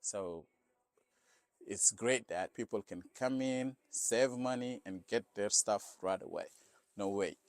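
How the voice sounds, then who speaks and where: A man's voice: on the low side, slow pace, dry recording. A man from Senegal with a Senegalese accent, a non-native English speaker, answering casually in an interview and often adding "you know". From Senegal, West Africa. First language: not English. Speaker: store owner being interviewed.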